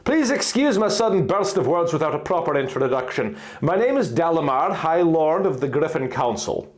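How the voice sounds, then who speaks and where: A man voicing a noble male griffin high lord who speaks in a formal, stately manner. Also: very animated, moderate pace. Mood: courtly.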